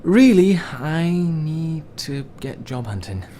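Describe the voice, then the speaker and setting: A man's voice: a little high, big pitch swings, takes it slowly, dark tone, slight background noise. A man acting a troubled, drifting character in a radio drama, wry, hesitant and self-deprecating.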